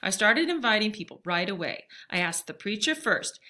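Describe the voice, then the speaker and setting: Bright-voiced woman reading a story aloud. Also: medium pitch, very animated, normal speed. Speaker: read-aloud reader. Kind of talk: expressive storybook read-aloud.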